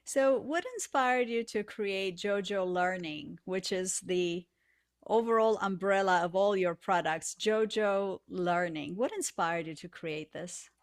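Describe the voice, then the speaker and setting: A woman's voice: medium pitch, a little slow. A woman from Romania hosts an interview, asking questions and sharing her own story in a conversational way. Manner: conversational, asks leading questions. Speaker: podcast host.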